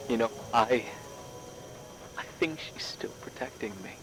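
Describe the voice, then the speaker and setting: An actor playing a grown man seeking a buried truth in a dramatic video game, grimly insistent, quiet and terse as he probes. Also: medium pitch, unhurried, natural voice. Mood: grave, resolved. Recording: clearly noisy ambience behind the voice.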